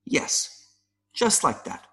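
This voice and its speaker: A man voicing an excitable, pleading, somewhat vain male mayfly in an audio play, stammering politely and buzzing between phrases. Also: drawn-out words. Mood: earnest.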